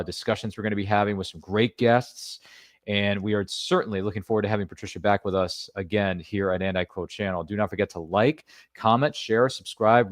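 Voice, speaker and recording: A man's voice: on the low side, no room sound, studio quality. A man hosting a podcast, wrapping up the episode in a casual, upbeat and rambling way. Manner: upbeat, rambling, self-deprecating. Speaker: podcast host. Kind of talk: casual show wrap-up and plugs.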